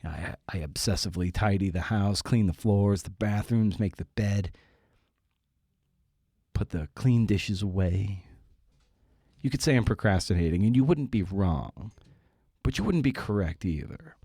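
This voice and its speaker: A man's voice: on the low side, lively intonation, measured pace, long pauses, dark tone, slightly rough, no echo, studio quality. A man hosting a writing podcast, talking casually in a wry, self-deprecating way with rambling asides. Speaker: podcast host and writer.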